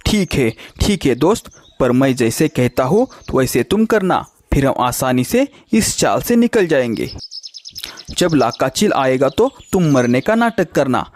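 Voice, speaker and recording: A man's voice: mid-range voice, big pitch swings, a little slow, a little mellow. A man voicing a loyal, wise male crow in a children's cartoon story, giving firm advice and repeating phrases. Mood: urgent, instructing.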